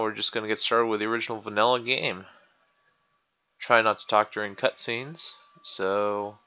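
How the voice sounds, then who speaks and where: A man gives a casual introduction as a let's play host. His low voice is bright and crisp, though the microphone slightly dulls it. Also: highs cut off. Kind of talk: casual gaming commentary intro.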